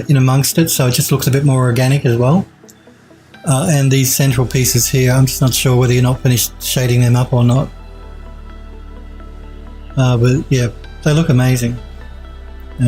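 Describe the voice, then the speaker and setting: Man, 40s, stuffed-up nasal head cold, warm-toned enthusiastic streamer, faint music behind. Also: mid-range voice. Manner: enthusiastic, repeats praise, restarts. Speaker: 3D art streamer reviewing viewer work. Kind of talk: casual live-stream critique. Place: home streaming room, indoors.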